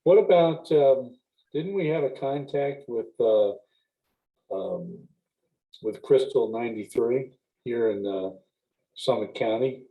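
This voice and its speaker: A man's voice: medium pitch, lively intonation, gravelly. Hesitant man over a tinny line. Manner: hesitant, lots of pauses and fillers. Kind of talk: casual meeting discussion.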